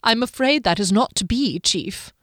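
A pale lieutenant who mocks everyone with sing-song cheer and quiet menace, as a woman reading the story puts him on. The voice climbs high and swoops playfully. The sound is dry and close, like a storybook reading. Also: animated, moderate pace, no echo. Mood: mocking cheer. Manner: mocking, theatrical, sing-song. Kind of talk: audiobook character voice.

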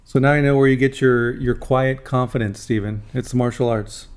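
A man's voice: mid-range voice, fairly even intonation, fairly quick, clean tone. A man hosting a podcast who asks his guest questions in an upbeat, encouraging way. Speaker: podcast host. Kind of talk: podcast interview.

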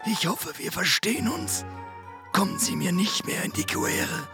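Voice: medium pitch, very animated, a little slow, over music. An actor playing a menacing, secretive villain in an audio drama, dictating a formal, threatening letter. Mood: coldly threatening.

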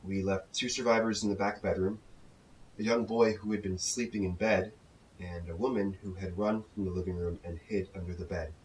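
An actor playing a confessed hitman in a documentary drama, delivering a formal, measured sworn statement with grave emphasis. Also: sits low, steady tone, distant mic, slight background noise, natural voice, poor audio. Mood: flat, confessional. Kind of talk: scripted testimony monologue.

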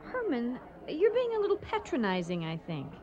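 Sharp-tongued, high-voiced proud chef. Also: female voice, huge pitch swings, normal speed, muffled recording. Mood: offended. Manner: pointed, sarcastic. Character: proud, competitive, easily stung female chef. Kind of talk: comedic radio drama acting.

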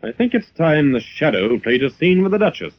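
An actor playing a composed, shrewd gentleman detective, reasoning briskly and with assurance in old radio drama style. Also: on the high side, big pitch swings. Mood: composed, probing. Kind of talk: 1940s radio drama acting. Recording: muffled, noisy vintage radio recording.

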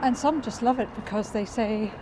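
A woman who hosts a podcast, chatting in a calm, reflective way with frequent restarts while walking outdoors. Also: on the high side, moderate pace. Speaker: podcast host. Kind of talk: casual outdoor conversation. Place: walking outdoors by a cycle path in a city. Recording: street noise outdoors.

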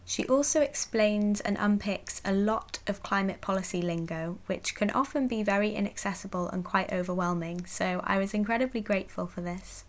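A woman's voice: medium pitch, moderate pace, hardly any pauses. A woman speaking as a podcast host, introducing and interviewing a guest.

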